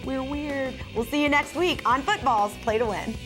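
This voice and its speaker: A woman's voice: high voice, normal speed, music in the background. A woman hosting a sports talk show, chatty and prompting her co-hosts. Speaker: show host.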